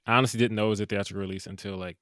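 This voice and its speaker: A man co-hosting a casual movie review podcast, animated and jokey with quick asides. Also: lowish voice, smooth, dry recording, clean studio sound. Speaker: podcast co-host.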